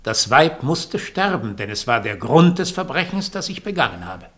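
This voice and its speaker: An actor playing a cold, calculating doppelgänger in a 1960s German radio play, speaking in an imperious, deliberate, cutting manner. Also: male voice, medium pitch, sing-song, a bit bright. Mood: cold triumph. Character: Diego, cold calculating doppelgänger, a man who schemes death.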